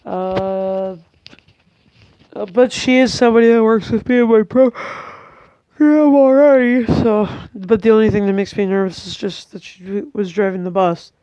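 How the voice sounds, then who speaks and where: A young woman talks to the camera in a casual, rambling way, stopping and restarting often. Her voice is smooth but a little congested and tired, and the sound is dry and slightly muffled, as if from a simple built-in mic at home. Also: mid-range voice, fairly even intonation, normal speed, warm tone, no echo. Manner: hesitant, many ums and restarts, chatty. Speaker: vlogger.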